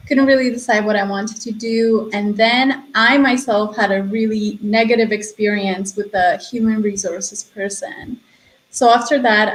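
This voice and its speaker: A woman from Romania co-hosting a podcast, telling her story in a relaxed, reflective way with light laughs. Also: mid-range voice, roomy sound, poor audio. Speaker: co-host, HR consultant. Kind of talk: casual podcast chat.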